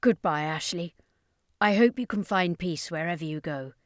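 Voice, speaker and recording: A woman voicing an earnest, gentle boy who is weary of adventure, in a narrated story. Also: fairly low pitch, normal speed, dry recording, clean studio sound, natural voice. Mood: wistful.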